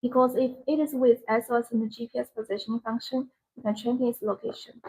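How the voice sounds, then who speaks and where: A woman's voice: fairly high pitch, little pitch movement, fast talker, dark tone, breathy edge, poor audio. A woman who speaks English as a second language, presenting products on a live sales stream in simple, short, repeated phrases. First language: not English.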